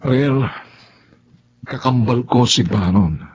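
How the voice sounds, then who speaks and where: A man's voice: mid-range voice, slow, husky. A man playing an earnest, mild-tempered young boyfriend in a Tagalog radio drama, explaining himself. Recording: muffled audio with background noise.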